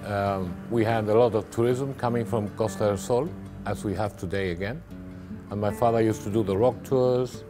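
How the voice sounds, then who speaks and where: Reflective man with soft guitar underneath. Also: lowish voice. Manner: reflective, halting with repeated words. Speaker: family business owner. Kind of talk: documentary interview.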